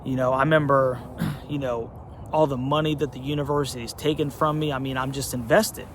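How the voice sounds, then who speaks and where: A man's voice: mid-range voice, steady tone, fairly quick, slight background noise. A man from North Carolina hosting a casual sports talk monologue, conversational and emphatic, with many fillers. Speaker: sports channel host.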